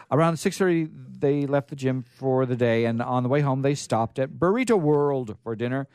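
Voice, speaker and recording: A man's voice: medium pitch, a little mellow, no echo. Man reading a story aloud, dry close sound. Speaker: radio story show host. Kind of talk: live story reading with casual asides.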